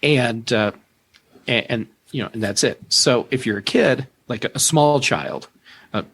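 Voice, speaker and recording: A man as a podcast guest, giving casual tech explanations in a measured, careful way. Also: medium pitch, fairly bright tone. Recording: clear background noise.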